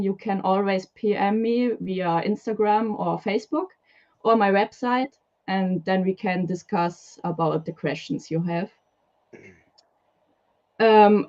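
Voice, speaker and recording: A woman's voice: medium pitch, a little mellow, lo-fi sound. A woman from Switzerland with a Swiss German accent, a guest talking about keeping reptiles, hesitant with many fillers. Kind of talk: live stream interview. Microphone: video call.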